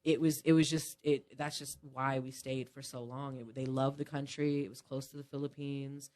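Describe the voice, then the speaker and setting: A woman's voice: very deep voice, little pitch movement, normal speed, warm tone. Chatty woman guest, lots of fillers. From Japan. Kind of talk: casual interview chat.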